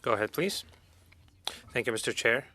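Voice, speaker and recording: A man giving a live interpretation in a formal meeting, speaking phrase by phrase with halting pauses. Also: medium pitch, animated, pushed and bright.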